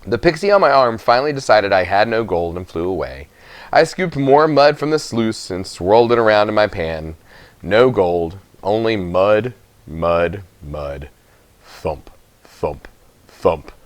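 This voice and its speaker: A man with a fairly low voice narrates a children's story in the first person. His reading is very animated in pitch, and the recording is dry and close. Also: measured pace, dry recording. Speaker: audiobook reader.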